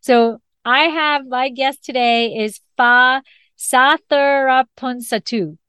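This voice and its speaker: A woman's voice: high-pitched, fairly even intonation, slow, pushed and bright, clear, resonant tone, no echo. Bubbly woman hosting a podcast. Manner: bubbly, laughs often. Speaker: podcast host and sommelier. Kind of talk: podcast intro and casual interview.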